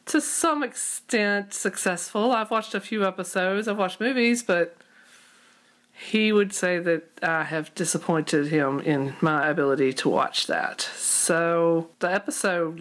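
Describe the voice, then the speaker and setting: A woman's voice: on the low side, a bit bright. A woman co-hosting a podcast in casual chat, with a wry, joking manner. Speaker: podcast co-host.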